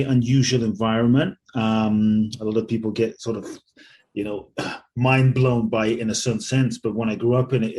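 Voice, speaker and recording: A man in his forties, born in Ghana and raised in London, speaks as a podcast guest in a low, steady voice. He tells his story in an earnest, measured and reflective way. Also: a little hiss or hum. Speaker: guest, spiritual speaker and author.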